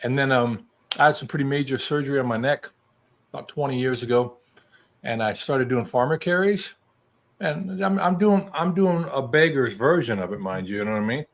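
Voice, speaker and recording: A man's voice: medium pitch, fairly quick. Self-deprecating man in his sixties. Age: 60s. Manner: self-deprecating, rambling, many restarts. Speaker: guest. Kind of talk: casual video call chat.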